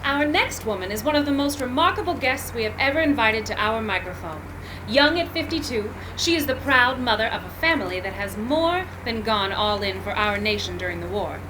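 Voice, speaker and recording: A woman's voice: a little high, expressive, fairly quick, close to an everyday voice. A woman playing a gracious 1940s radio interviewer in a formal announcer manner. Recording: noisy live event recording.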